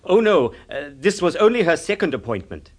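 A precious society hairdresser in a British radio play, a bundle of nerves. His voice is fairly high and husky, swooping melodramatically with wounded self-importance. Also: big pitch swings, moderate pace. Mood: huffy, defensive. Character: fussy, affected, highly strung male society hairdresser. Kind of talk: British radio drama acting.

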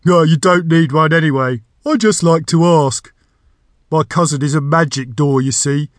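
A man voicing a talking magic door from a storybook, a gruff, self-important gatekeeper with a booming gravelly voice. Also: moderate pace, no echo, studio quality, natural voice. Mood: chatty, rambling. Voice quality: deep, gravelly.

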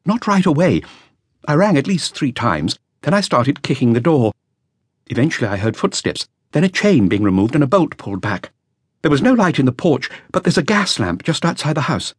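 A man's voice: medium pitch, big pitch swings, moderate pace, slightly rough, no room sound, studio quality, pitched above the performer's own voice. A man voicing a nervous, earnest young male flautist telling what he witnessed. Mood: anxious. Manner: anxious, breathless, earnest.

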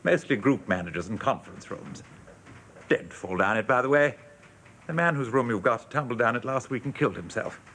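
A man's voice: medium pitch, lively intonation, fairly quick, a bit bright, a little hiss or hum. An actor playing a world-weary, sardonic English advertising man with a dry, mock-pompous manner in a radio drama. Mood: wry, breezy. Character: world-weary, sardonic male advertising copywriter showing newcomer around.

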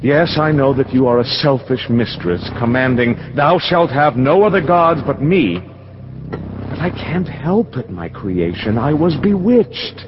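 Male narrator, mid pitch, solemn incantatory radio-drama reading, dull lo-fi audio. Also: animated, noisy background. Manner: slow, solemn, incantatory delivery.

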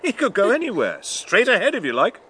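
A man's voice: high voice, huge pitch swings, fairly quick, bright, forceful tone, slight background noise, exaggerated voice. A man playing a stranded pilot in a radio drama, exasperated but kindly as he explains himself. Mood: coaxing.

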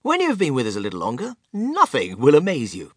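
A man voicing an exuberant eccentric gentleman inventor in a storybook, theatrical, emphatic and breezily unbothered. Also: mid-range voice, wildly swooping pitch, quick pace, husky, dry recording, studio quality, heavily acted. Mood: breezy, grand. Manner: theatrical, emphatic, courteously dismissive.

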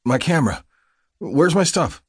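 Injured male patient acted by a male narrator: husky, gruff, stubborn and snappish, audiobook drama. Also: on the high side, very animated, measured pace, no room sound, clean studio sound, pitched above the performer's own voice, full character voice. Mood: anxious, demanding.

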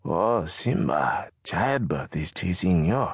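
A fierce toothless mother shrills and scolds her son from a cramped shack, as a male narrator puts her on. Her voice leaps high and swings widely, with a husky grain beneath the sharp scolding. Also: very animated, takes it slowly, no echo, studio quality, full character voice. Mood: hushed.

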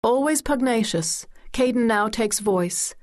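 A woman narrating a literary story in verse as an audiobook, with measured, dramatic recitation. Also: a little high, expressive, a little mellow.

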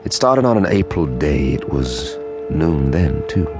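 An actor playing a somber, rueful man recounting a calamity in a radio drama, brooding and deliberate with weighty pauses. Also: a bass voice, big pitch swings, darkish tone, exaggerated voice. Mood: somber, reflective. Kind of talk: scripted first-person radio drama narration. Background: music.

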